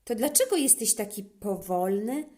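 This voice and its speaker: Woman reading as a concerned schoolteacher, expressive pitch. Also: normal speed, lightly acted.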